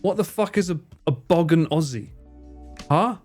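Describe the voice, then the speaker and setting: A man's voice: on the high side, expressive, moderate pace, darkish tone, faint music behind. A man streaming live, chatting casually with viewers in a joking, theatrical way and swearing freely. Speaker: streamer and cameraman.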